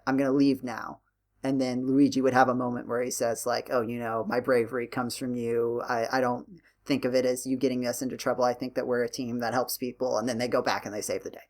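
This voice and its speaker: A man hosting a podcast, talking through his points casually in a rambling, analytical way. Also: medium pitch. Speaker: podcast host.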